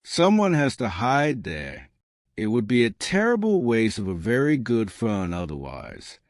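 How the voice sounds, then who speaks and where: A man voicing a plain, frank young gentlewoman in an audiobook, unhurried, matter-of-fact and dryly witty. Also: mid-range voice, wildly swooping pitch, dry recording, clean studio sound. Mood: wryly matter-of-fact. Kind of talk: audiobook character dialogue.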